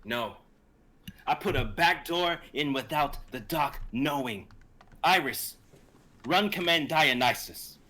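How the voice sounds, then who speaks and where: A young male lab assistant gone crooked, acted by a man, husky and forceful. His slow, emphatic delivery swings widely in pitch, desperate and hot-tempered, in a dramatic script reading. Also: expressive, full character voice. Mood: tense, irritated. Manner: urgent, emphatic.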